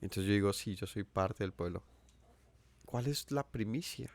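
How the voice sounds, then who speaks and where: A man's voice: fairly low pitch, moderate pace, no echo. A Colombian man with a Colombian accent giving an earnest sermon, asking his listeners questions and repeating phrases for emphasis. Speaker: preacher. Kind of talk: sermon teaching.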